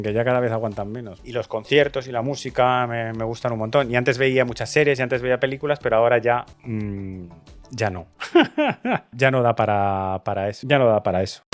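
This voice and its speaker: A man in his forties with a Madrid Spanish accent, chatting casually and rambling a little as he answers viewer questions. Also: medium pitch. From Madrid, Spain. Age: 40s. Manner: rambling, chatty, with frequent restarts and asides. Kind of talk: casual Q&A chat.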